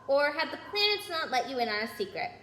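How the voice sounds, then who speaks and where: A noble male centaur as a woman reads him speaks gravely and earnestly in a storybook tale. The voice is fairly high, in a roomy, echoing space with faint noise. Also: sing-song, fast talker, pushed and bright, exaggerated voice. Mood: defiant. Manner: solemn, earnest, formal.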